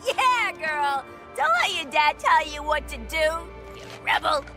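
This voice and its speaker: A woman's voice: high-pitched, lively intonation, a little slow, bright, forceful tone, faint music behind, exaggerated voice. A woman playing a playful, mischievous squad member in a video game. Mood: teasing. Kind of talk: animated video game acting.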